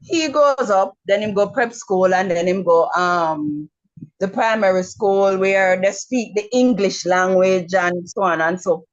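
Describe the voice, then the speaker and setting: A middle-aged Jamaican woman on a video call, speaking frankly with repeated words and frequent pauses. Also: medium pitch, dull audio. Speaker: webinar attendee. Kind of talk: casual remarks on a video call.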